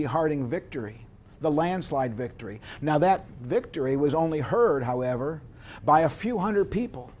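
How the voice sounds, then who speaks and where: An American man giving an informal history lecture, explaining deliberately like a storyteller. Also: fairly high pitch, darkish tone. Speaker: history instructor.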